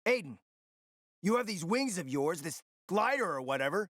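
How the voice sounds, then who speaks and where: A man's voice: sits high, big pitch swings, moderate pace, fairly bright tone, dry recording, studio quality, exaggerated voice. An actor playing a scheming, foul-mouthed man in a post-apocalyptic video game, confident and calculating, with an emphatic, sardonic delivery. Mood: urgent instructing. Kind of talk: dramatic video game dialogue.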